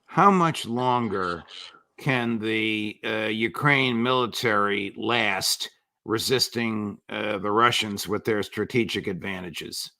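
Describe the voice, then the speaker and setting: A man's voice: fairly low pitch, takes it slowly, gravelly, sharp tone. A man hosting an interview, asking pointed, leading questions. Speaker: show host.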